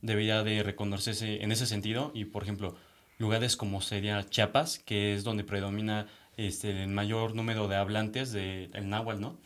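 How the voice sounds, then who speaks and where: A man's voice: fairly low pitch, flat delivery, fairly bright tone. A man, co-hosting a radio discussion and thinking aloud with fillers like "este" and "o sea". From Mexico. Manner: thoughtful, fills pauses with "este" and "o sea".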